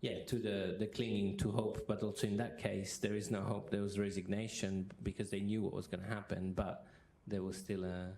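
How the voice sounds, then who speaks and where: A man's voice: on the low side, flat delivery, normal speed, gravelly, few pauses, darkish tone, low-quality recording. A man interviewing a guest before a university audience, talking hesitantly with many restarts. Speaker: interviewer, history professor. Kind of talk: moderating a public interview.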